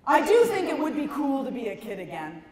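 A middle-aged woman giving a stand-up comedy talk to an audience, emphatic and conversational, with dramatic pauses. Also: high-pitched, very animated, crowd noise. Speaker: comedian, keynote speaker. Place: large conference ballroom stage. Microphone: handheld microphone. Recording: echoey hall PA, some noise.

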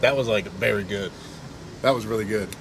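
Excited young man vlogging in a van. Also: on the low side, brisk, background noise. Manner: enthusiastic, easily impressed, laughs often. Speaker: vlogger host. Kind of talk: casual vlog chat. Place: inside a camper van kitchen, parked outdoors. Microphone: action camera held at arm's length.

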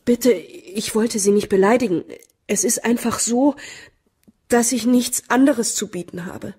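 Ängstliche junge Frau mit belegter Stimme. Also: female voice, on the high side, mellow, breathy edge. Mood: pleading. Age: young adult. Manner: halting, timid, pleading. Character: anxious, brittle young heiress pleading for help. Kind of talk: German radio play acting.

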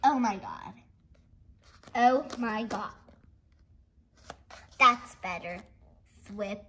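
A young girl hosting a read-along, reading a picture book aloud and reacting chattily in a giggly, excitable way. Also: mid-range voice, very slow, slight background noise. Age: child. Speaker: host of a read-along video. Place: indoors at a dark wooden table. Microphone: camera pointed down at the book.

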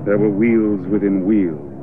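An actor playing an earnest, lovelorn young upper-class English gentleman in a radio comedy, plaintive and politely understated. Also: lowish voice, a little slow, over music, natural voice. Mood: glum. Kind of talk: radio comedy drama acting. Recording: muffled, noisy radio play recording.

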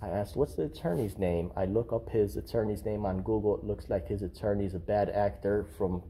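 A man's voice: fairly low pitch, fairly quick, mellow, a little hiss or hum. A man auditing public records, chatting in an upbeat, friendly way into a body-worn camera in a large office lobby. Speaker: records auditor filming a town hall visit. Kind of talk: casual on-camera chat. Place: large open-plan office lobby indoors, then outdoors.

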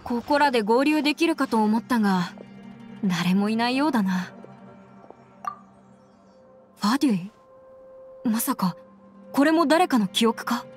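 A woman's voice: a little high, animated, a little slow, pauses often, darkish tone. A woman playing a composed, earnest young female adviser in an anime game, with matter-of-fact, mannish phrasing over background music. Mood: alert.

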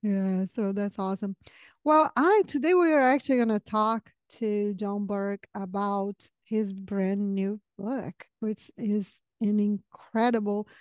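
A woman's voice: medium pitch, slow, darkish tone, clear, resonant tone, no room sound. A middle-aged woman from southern Brazil with a Brazilian accent, hosting a conversational interview close on a podcast microphone. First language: Portuguese. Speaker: podcast host. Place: home study with bookshelves, video call. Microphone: podcast microphone on boom arm, close.

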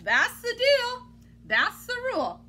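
A woman's voice: extremely high pitch, huge pitch swings, slow, pushed and bright. A woman in her thirties reading a picture book aloud to children like a teacher, with exaggerated, theatrical, playfully exasperated delivery. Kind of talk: animated children's story read-aloud. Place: small indoor room at home. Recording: noticeable background noise, home recording.

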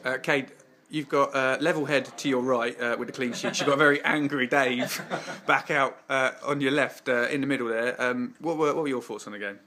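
A young English man hosting a jokey post-match interview for a club channel, halting with many fillers and teasing his guests. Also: medium pitch, steady tone, bright, forceful tone.

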